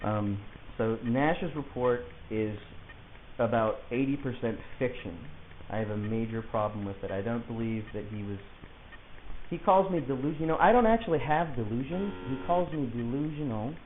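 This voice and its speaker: A man's voice: mid-range voice, animated, normal speed. A man speaking as a client to his lawyer, arguing his points insistently and in articulate detail. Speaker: client of a public defender. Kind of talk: argumentative conversation. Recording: hissy, muffled phone-like audio, echoey room.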